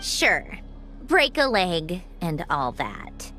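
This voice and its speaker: A woman voicing a haughty, teasing young woman of high rank in a video game, sardonic and aloof. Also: sing-song, extremely slow, sharp tone, soft background music, heavily acted. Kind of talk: video game cutscene acting.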